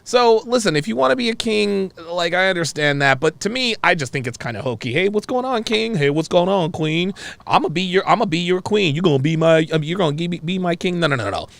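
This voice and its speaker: A man's voice: sits high, lively intonation, fairly quick, creaky, fairly bright tone, dry recording. An American man hosting a live call-in discussion, giving his opinions emphatically and repeating phrases as he goes. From United States. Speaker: talk show host.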